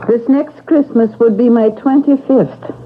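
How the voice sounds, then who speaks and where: A woman's voice: fairly high pitch, a little slow, natural voice. A long-serving Irish housekeeper acted by a woman, loyal, effusive and full of feeling. She speaks in old radio drama style. The recording is very narrow and tinny, with faint noise behind. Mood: bitter, resolute. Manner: pleading, effusive, endearing address. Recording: thin, noisy old radio broadcast.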